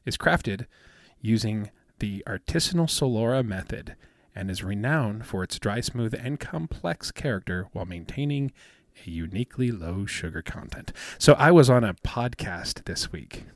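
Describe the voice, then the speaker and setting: A man in his fifties with a soothing, smooth voice, chatting casually as a podcast co-host and rambling with many fillers and restarts. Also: on the low side, expressive, moderate pace, no echo, studio quality. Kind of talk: casual chat.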